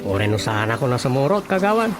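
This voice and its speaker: A man's voice: mid-range voice, sing-song, quick pace, loud music behind the voice, lightly acted. Man acting a grumpy, stubborn village councilman. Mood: stubborn refusal. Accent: Ilocano. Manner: grumbling, exclaiming. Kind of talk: Ilocano radio comedy drama acting.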